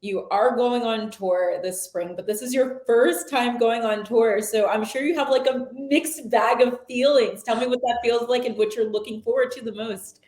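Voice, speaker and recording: A woman's voice: fairly high pitch, moderate pace, hardly any pauses, a little mellow, reverberant. A woman hosting a talk show, asking long friendly questions in a casual interview. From the Washington DC area.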